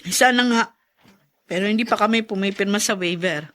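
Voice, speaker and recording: A woman's voice: medium pitch, a little fast, fairly bright tone, close to an everyday voice. A woman playing a worn, worried mother in a radio drama.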